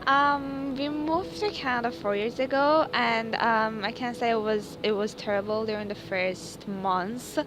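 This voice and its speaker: A woman's voice: fairly even intonation, measured pace, hardly any pauses. Young woman from Iran, noisy recording. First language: Persian. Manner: hesitant, many fillers and restarts. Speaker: interviewee. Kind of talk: casual interview. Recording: noisy background with rumble.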